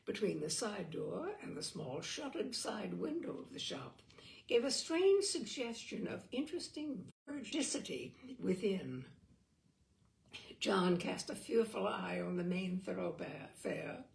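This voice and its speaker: A woman reading a literary story aloud as narrator, expressively, with theatrical, deliberate phrasing and dramatic pauses. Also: mid-range voice, very animated, creaky, darkish tone. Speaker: audiobook reader and storyteller.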